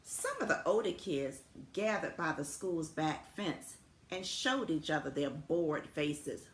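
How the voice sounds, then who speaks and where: Expressive, unhurried woman reading a storybook aloud. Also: deep voice. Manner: deliberate, expressive, speaking simply to young children. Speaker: elementary school principal reading aloud to students. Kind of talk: children's storybook read-aloud with friendly asides. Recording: roomy echo with faint background noise.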